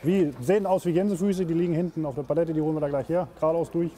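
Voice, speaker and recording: A man working as a metalworker, quickly explaining the parts he is fitting to a machine. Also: fairly high pitch, warm tone. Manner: quick practical shop-floor explaining. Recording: mic at a distance in a workshop.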